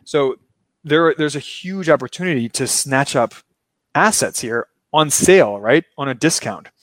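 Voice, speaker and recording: A man's voice: fairly high pitch, animated, unhurried, a bit bright, dry recording. Chatty man. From Baltimore, Maryland. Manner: laughs often, talks with a loose lecturing rhythm. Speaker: show host. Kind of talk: casual finance chat. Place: remote, in Brazil.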